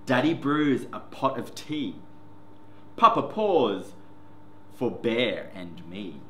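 A man hosts a children's story time with a bright, clear-cut delivery and very lively, sing-song pitch. He takes his time over the simple words for small listeners. The room adds an echo, with faint noise behind him. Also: takes it slowly. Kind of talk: picture book read-aloud for young children. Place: indoor classroom reading corner.

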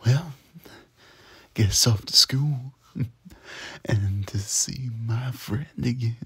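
A man playing a young demon son in an ASMR audio roleplay, cocky but soft-hearted, stammering and informal. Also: medium pitch, very slow, slightly rough, dry recording, heavily acted. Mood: tender, musing.

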